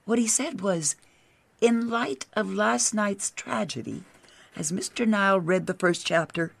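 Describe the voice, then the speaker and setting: An actress playing an earnest, easily shaken detective agency secretary with an American accent in a radio drama. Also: female voice, mid-range voice, measured pace, creaky. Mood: businesslike, earnest.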